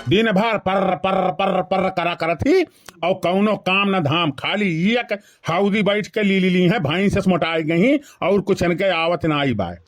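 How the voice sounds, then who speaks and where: A man's voice: high-pitched, measured pace, faint music behind. A man voicing an exasperated, skinny henpecked village husband in a comedy cartoon, grumbling repetitively with an Awadhi accent. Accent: Awadhi, eastern Uttar Pradesh. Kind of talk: rustic comedy cartoon dialogue.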